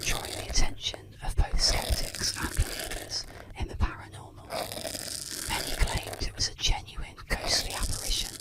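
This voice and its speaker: A storyteller in a soft, breathy, unvoiced whisper close to the microphone, narrating scripted spooky tales in a soothing, measured ASMR style. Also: rough recording. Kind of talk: scripted ASMR narration of ghost stories and urban legends.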